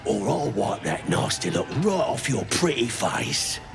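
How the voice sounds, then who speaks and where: A man playing a boastful, belligerent goblin brute in a video game, with a gravelly voice and an English working-class accent. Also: medium pitch, sing-song, measured pace, music in the background. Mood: belligerent, scornful. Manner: belligerent, taunting. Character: boastful, bullying goblin brute who loves drink. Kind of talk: video game character acting.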